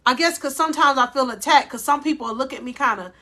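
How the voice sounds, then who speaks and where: A woman life coach giving a faith-based motivational talk, with a loud voice, speaking deliberately slowly and calling her listeners "y'all". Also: high-pitched. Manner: loud voice by her own account.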